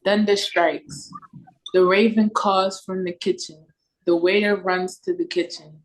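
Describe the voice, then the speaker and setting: A woman's voice: medium pitch, fairly even intonation, measured pace, rough recording. A woman reading scripted stage directions for an audio play over a video call.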